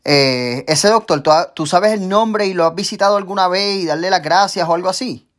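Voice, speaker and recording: A man hosting a casual interview podcast, excitable and hyping up his guest. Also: high-pitched, moderate pace. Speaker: podcast host and coach.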